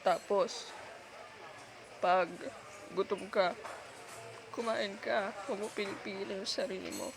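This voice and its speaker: A woman with a Filipino accent playing a heartbroken, self-sacrificing girlfriend in an ASMR roleplay, speaking in a halting, tender, pleading way. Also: medium pitch, steady tone, over music. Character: heartbroken, self-sacrificing long-time girlfriend letting her partner go. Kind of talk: ASMR girlfriend roleplay, intimate one-sided dialogue. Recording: clearly noisy background.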